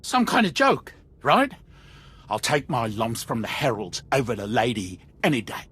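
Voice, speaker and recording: An actor playing a nervous, shifty street informant with a husky voice and slangy, stammering speech in a video game. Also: male voice, mid-range voice, huge pitch swings, moderate pace, a bit bright, heavily acted. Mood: anxious, placating. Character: nervous, shifty male street-level informant, eager to placate.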